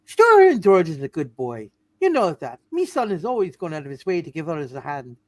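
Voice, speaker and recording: Woman as theatrical matriarch at prayer, lowish pitch, wildly animated, doting, comic radio play reading. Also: fairly quick, no room sound. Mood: pleading. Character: doting, theatrical townswoman praying for her family.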